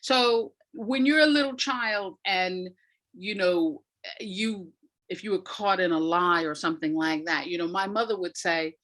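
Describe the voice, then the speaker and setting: A woman's voice: lowish voice, unhurried, a bit bright. A woman giving reflective, conversational explanations as an interview guest, thoughtfully searching for her words. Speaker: academic and audio dramatist, interview guest.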